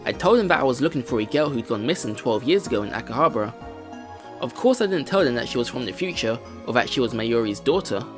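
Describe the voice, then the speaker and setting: A let's player reads story narration from a visual novel. The pitch is medium with lively, swinging intonation. Soft game music plays underneath. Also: quick pace. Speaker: let's play host.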